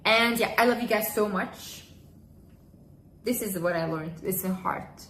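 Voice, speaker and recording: A woman's voice: mid-range voice, a little slow, fairly bright tone, echoey room, slight background noise. A woman in her twenties giving heartfelt life advice straight to camera in an earnest, emphatic way. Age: 20s. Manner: earnest, emphatic, repeats phrases. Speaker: vlogger. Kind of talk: casual advice vlog.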